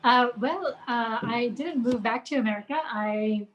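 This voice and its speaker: A woman in her forties from India, a guest in an interview, telling stories in a thoughtful, unhurried way. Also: on the high side, muffled recording, slight background noise. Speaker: guest, archive founder. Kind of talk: interview answers, reminiscing.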